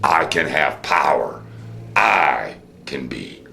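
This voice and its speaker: A very low-voiced American man delivers an off-the-cuff commentary. His voice is full of gravelly fry and swings widely in pitch. He is theatrical, leaning on rhetorical questions and stretching words for emphasis. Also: expressive, slow, pushed and bright, slight background noise. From United States. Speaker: host of a political commentary show. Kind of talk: unscripted political monologue and storytelling.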